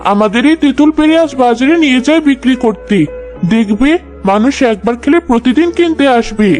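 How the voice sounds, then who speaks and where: A woman's voice: sits high, animated, fairly quick, a little mellow, soft background music. A woman voicing a sweet, earnest, kind-hearted village daughter in a children's cartoon. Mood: delighted. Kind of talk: Bengali children's cartoon dubbing.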